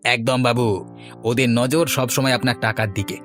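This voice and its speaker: A man voicing a scheming, spiteful cartoon sidekick who flatters his boss in a sly, ingratiating way. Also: medium pitch, moderate pace, faint music behind, close to an everyday voice. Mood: spiteful, fawning. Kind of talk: cartoon dialogue.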